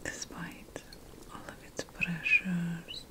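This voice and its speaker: A woman voicing a sly, conspiratorial potion-making witch in an ASMR fantasy roleplay, whispering slowly and deliberately with dramatic pauses. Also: fairly low pitch, little pitch movement, sharp tone, exaggerated voice. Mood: sly, secretive.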